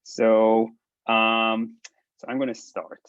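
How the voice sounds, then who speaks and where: A man from Israel with an Israeli accent, giving a hesitant, halting talk full of restarts on a video call. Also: lowish voice, monotone, fairly bright tone, smooth, no echo. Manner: halting, many restarts and ums. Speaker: presenter. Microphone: laptop mic on video call. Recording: dull lo-fi video call audio.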